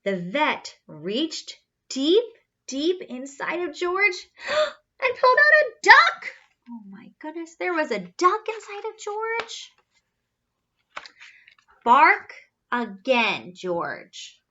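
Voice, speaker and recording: A woman reads a picture book to children with a high, very lively voice. She goes slowly, asks questions theatrically and marvels at the story. The recording is slightly dull. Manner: theatrical, questioning, gasping with wonder. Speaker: story reader, children's teacher-like host. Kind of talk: animated children's storybook read-aloud.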